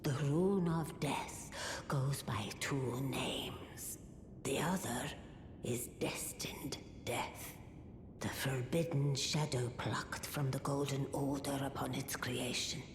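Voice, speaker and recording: In a reverberant hall over loud rumble, an ancient oracle crone speaks in a low, breathy, aged voice. She is cryptic and portentous, drawing out each phrase very slowly, as in a dark fantasy game. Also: heavily acted. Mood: shocked, forbidding. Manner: slow, portentous, musing. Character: ancient blind crone, oracle serving the fingers, cryptic and grave. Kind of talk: theatrical fantasy game acting. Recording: echoey hall, loud rumbling background noise.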